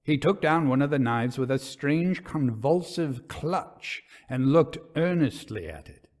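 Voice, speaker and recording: A man reading a gothic ghost story aloud in a theatrical, deliberate and suspenseful manner. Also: medium pitch, expressive, a little mellow.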